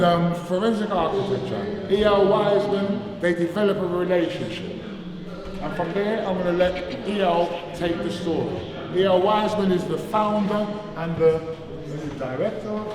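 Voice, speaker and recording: High-voiced man hosting in an echoey hall. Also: moderate pace, crowd noise. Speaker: tour host introducing a speaker. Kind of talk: informal public introduction. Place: large echoing gallery space. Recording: echoey hall with audience sounds.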